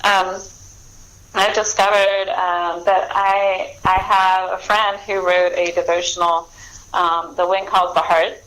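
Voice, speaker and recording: A woman's voice: fairly low pitch, flat delivery, fairly bright tone, poor audio. A middle-aged woman speaking as an author guest in a casual interview, chatty, often doubling back and correcting herself. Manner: chatty, halting and self-correcting.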